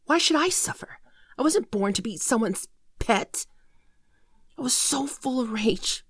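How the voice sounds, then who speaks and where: A gentle shapeshifter alien woman, played by an actress, confesses her sorrows in an ASMR roleplay. Her soft voice often thins toward a whisper and crackles with vocal fry. She speaks in an earnest, halting way, in a very dry recording. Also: mid-range voice, dry recording. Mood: anguished, defiant. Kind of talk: ASMR roleplay monologue, scripted.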